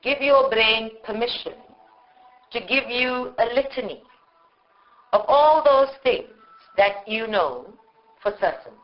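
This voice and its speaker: A woman leading a guided meditation in short, measured phrases with long pauses, on a live recording. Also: a little high, lively intonation, dull audio. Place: live group meditation session.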